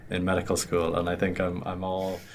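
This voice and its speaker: A man's voice: low voice, monotone, fairly quick, over a noisy crowd. A young Irish man in his twenties chatting casually as an interview guest, rambling with lots of fillers. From Ireland. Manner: rambling, many fillers and restarts. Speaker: graduate student guest.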